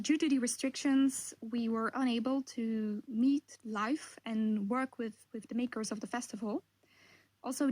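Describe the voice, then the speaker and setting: A woman's voice: fairly high pitch, dry recording, rough recording. A woman, giving prepared interview answers as a podcast maker. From Belgium. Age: 20s.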